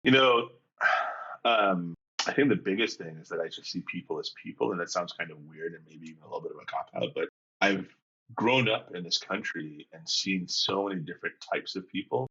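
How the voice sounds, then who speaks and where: Male coach, 50s, low husky voice with a bright edge, dull lo-fi recording. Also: expressive, fairly quick. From England and Jamaica. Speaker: executive and leadership coach. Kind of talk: reflective conversational storytelling.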